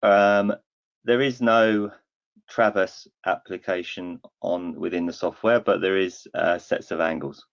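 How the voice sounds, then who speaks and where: Man, very low gravelly voice, equipment expert, hesitant, restarts, muffled call audio. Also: fairly even intonation, a little slow. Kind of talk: answering questions on a webinar panel.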